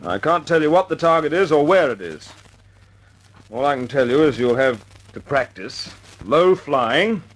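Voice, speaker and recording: An actor playing a brisk, commanding wartime air force squadron leader in an old-time radio drama, speaking in a clipped, authoritative way. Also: male voice, on the high side, expressive. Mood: firm, commanding. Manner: clipped, authoritative, informal with his men. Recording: noisy old radio recording.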